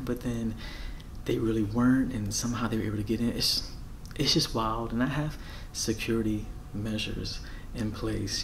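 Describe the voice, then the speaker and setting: An American man in his thirties doing a casual ASMR roleplay as a friendly, flustered rental host, soft-spoken, halting and apologetic. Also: on the low side, a little hiss or hum. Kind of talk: ASMR roleplay, casual one-sided conversation. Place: bedroom, indoors.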